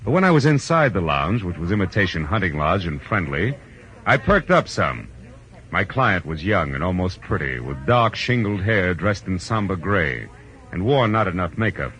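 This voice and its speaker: An actor playing a world-weary, wry private detective with a laconic manner, in 1940s radio drama style on an old recording. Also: male voice, deep voice, big pitch swings, normal speed, a bit bright, lo-fi sound. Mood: brooding, wry. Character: world-weary male private detective, gruff but kindly. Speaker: narrator and lead character. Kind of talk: 1940s radio acting, hardboiled first-person narration.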